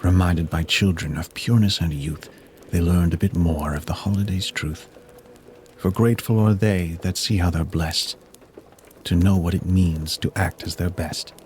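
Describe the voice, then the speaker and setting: A man narrating a rhymed Christmas story in verse with a measured, solemn delivery. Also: deep voice, steady tone, darkish tone, a little hiss or hum. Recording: music bed under the voice. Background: music.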